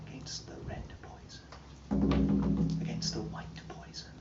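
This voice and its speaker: A man giving a slow, chanting dramatic recitation of an old charm, swinging from roaring calls to hushed murmurs, recorded from a distance at a live performance. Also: loud music behind the voice. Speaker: storyteller. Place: heritage site, live performance room. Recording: dull, roomy live recording from far off. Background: drumming.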